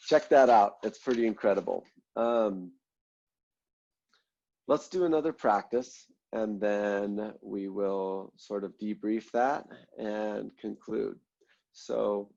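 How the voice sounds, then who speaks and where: A man leading an online mindfulness class, congested and a little nasal, explaining breathing steps in a relaxed way with many ums and "right?" check-ins. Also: lowish voice, vocal fry, lo-fi sound. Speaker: mindfulness instructor.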